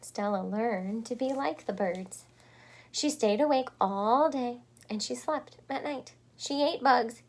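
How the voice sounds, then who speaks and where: A woman reading a picture book aloud to children, expressive, with deliberate phrasing, dramatic pauses and small explanatory asides. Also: on the high side, gravelly.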